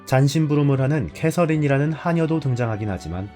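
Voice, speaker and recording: Man, scripted narration, host, animated intonation, faint guitar music behind. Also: medium pitch, fast.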